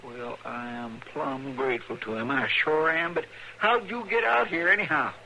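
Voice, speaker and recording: An actor playing a folksy, excitable Western deputy with a rural American drawl in an old radio western. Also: male voice, fairly high pitch, moderate pace, vocal fry, full character voice, rough recording. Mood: grateful. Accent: rural American West drawl. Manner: folksy, exclamatory. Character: folksy, excitable frontier deputy, grateful and plain-spoken. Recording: old radio recording with faint hiss.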